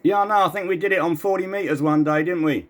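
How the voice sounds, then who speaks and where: A man's voice: high voice, fast talker, slight background noise. A man having a casual radio chat as a CB operator.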